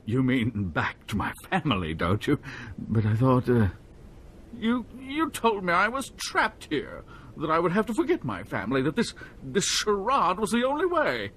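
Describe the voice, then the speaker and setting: A man's voice: on the high side, very animated, moderate pace, a bit bright, slight background noise. A whiny, flustered young nobleman. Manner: flustered, hesitant and pleading. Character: whiny pampered young nobleman, timid and easily rattled. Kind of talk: video game cutscene acting.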